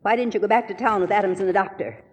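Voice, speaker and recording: A woman's voice: medium pitch, rapid-fire, a little mellow, breathy edge, close to an everyday voice. An actress playing a prim, protective household woman in a 1940s radio drama, blunt, folksy and scolding. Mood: indignant. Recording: 1940s radio recording, reverberant studio.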